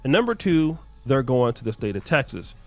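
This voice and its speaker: Lively man narrating a commentary video. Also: mid-range voice, normal speed, faint music behind.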